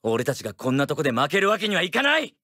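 A man voicing a gruff, competitive teenage boy baseball catcher in an anime-style game. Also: fairly high pitch, wildly swooping pitch, very fast, over loud music. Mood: gruff, defiant.

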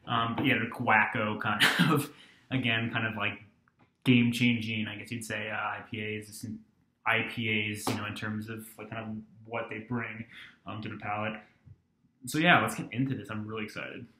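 A young man in his twenties talks through a beer tasting in a loose, rambling way, full of ums and false starts. His voice sits a little low. The sound comes off a webcam mic in a small room with a noticeable echo. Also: moderate pace, slight background noise. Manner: relaxed, rambling, many ums and restarts. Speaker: beer reviewer. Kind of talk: casual beer review vlog. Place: small dorm-like room indoors.

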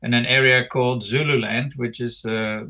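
A man in his sixties with a South African accent, chatting as an interview guest over a video call from his home office, rambling and relaxed with many fillers and restarts. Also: mid-range voice, moderate pace. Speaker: interview guest, retired tennis player. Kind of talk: casual interview chat. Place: home office with framed pictures.

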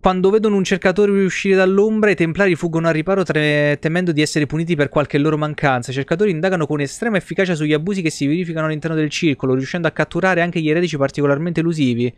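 A man's voice: fairly high pitch, steady tone, very fast, hardly any pauses, clean tone, no echo. A man, giving informal live game commentary and reading game text aloud on a close microphone. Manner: informal, mild exclamations. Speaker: video game streamer. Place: small indoor streaming setup.

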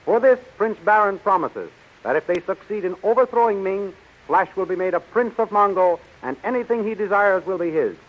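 An old-time radio serial announcer, narrating dramatically. His voice is high, and the recording is muffled with faint hiss. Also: animated, fairly quick. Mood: dramatic urgency. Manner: dramatic, declamatory. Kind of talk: 1930s radio scripted narration.

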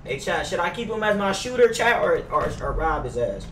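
A man's voice: high-pitched, brisk, recorded from a distance. A young American man talks in loose, slangy, swearing chatter as he streams a roleplay game. His voice sits in a roomy space, a little away from the mic. A faint layer of noise runs underneath. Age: young adult. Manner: slangy, swearing, animated street talk. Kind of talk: gaming livestream roleplay, casual slangy chat. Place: indoor room at gaming chair.

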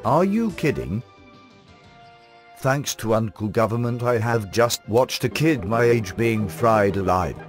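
A man's voice: fairly low pitch, lively intonation, measured pace, soft background music. A British man narrating a scripted comic review as the host, in a sardonic, dry-humoured manner.

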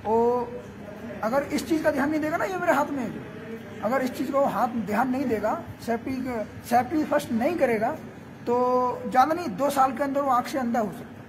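A man's voice: very high-pitched, moderate pace, background noise. Earnest young man, workshop foreman. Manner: earnest, explains while holding up his gear. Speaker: welding foreman. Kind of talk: on-site interview. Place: large metal-walled workshop shed.

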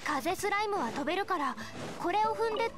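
A woman voicing a calm, observant young heroine in an anime game. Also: very high voice, brisk. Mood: calm, explaining.

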